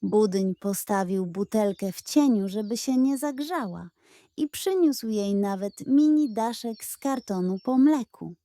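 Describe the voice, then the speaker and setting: A woman's voice: mid-range voice, expressive, unhurried, mellow, no room sound. A woman reading a scripted bedtime story for children as its narrator.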